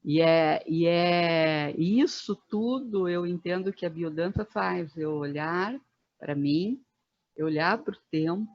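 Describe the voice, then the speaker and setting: A woman in her fifties, a guest facilitator, speaking thoughtfully in a podcast conversation with many pauses and fillers. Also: on the low side, no echo, muffled recording. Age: 50s.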